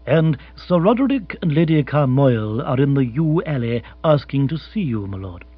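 A man voicing an imperturbable butler in a comic audiobook, formal, measured and deferential. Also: medium pitch, big pitch swings, breathy edge, no room sound. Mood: unruffled, deferential. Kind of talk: comic audiobook character acting. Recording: muffled recording with hum.

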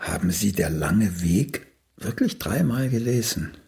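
A man's voice: lowish voice, animated, a little slow, gravelly, darkish tone. An actor playing a wry, probing old male playwright in a German radio play, with a needling way of talking. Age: older.

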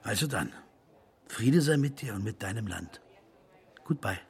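Lively, worldly film producer friend. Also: male voice, fairly low pitch, a little slow, slightly rough, full character voice. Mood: urging, concerned. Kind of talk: radio play acting.

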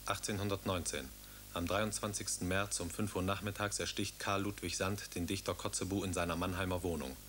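A man's voice: sits low, little pitch movement, brisk, a bit bright, slight background noise. A man reading out a historical timeline in a plain, matter-of-fact way. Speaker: announcer.

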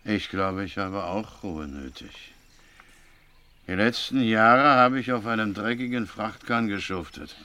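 An actor playing a sly old seaman blackmailer in a radio drama, gravelly, falsely polite and insinuating. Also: fairly low pitch, a little slow, sharp tone. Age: older. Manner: drawling, insinuating.

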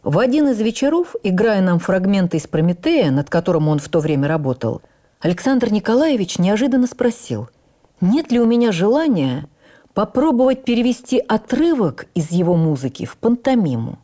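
An actress playing a stage actress who recalls her youth with admiring wonder, in measured, literary, expressive radio narration. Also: medium pitch. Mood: reflective, admiring. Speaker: actress reading a memoir on radio. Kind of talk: dramatic first-person memoir narration for radio.

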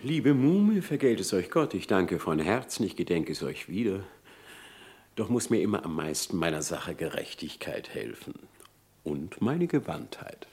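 A man's voice: sits low, sing-song, moderate pace, a little mellow, breathy edge, exaggerated voice. An actor playing a sly, self-assured male fox in a radio play, speaking with courteous confidence. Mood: grateful, sure.

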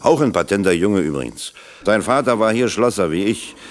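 Deep-voiced, kindly grumbling older workman played by an actor. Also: expressive, fairly quick, a little hiss or hum, natural voice. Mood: fatherly. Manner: colloquial, plain-spoken. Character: kindly, grumbling, self-deprecating older male factory locksmith. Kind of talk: German radio play acting.

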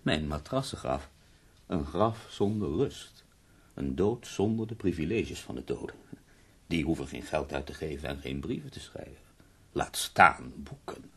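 An actor playing an ailing, unhappy bedridden writer in a radio drama, theatrical and self-pitying with sardonic wit. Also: male voice, very deep voice, expressive, measured pace, husky, exaggerated voice. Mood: bitter lament.

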